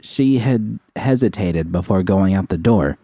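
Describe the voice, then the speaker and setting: Male narrator for first-person fiction. Very low, soft dark tone, dry sound. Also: lively intonation, a little slow, no room sound. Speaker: audiobook reader of fan fiction.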